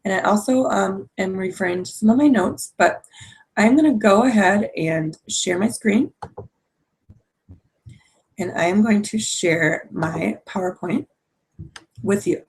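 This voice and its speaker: A woman marketing coach giving a screen-shared online lesson, hoarse from a cold, explaining step by step with frequent fillers. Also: medium pitch, measured pace, pauses often, poor audio. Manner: explanatory, many fillers and restarts. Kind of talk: webinar presentation.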